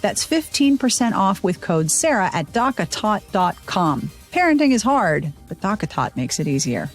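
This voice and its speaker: A woman's voice: medium pitch, big pitch swings, normal speed, soft background music. An American woman hosting a podcast, reading sponsor spots and chatting with a guest in a bubbly, playful way. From United States. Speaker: podcast host. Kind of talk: casual podcast chat and read ads.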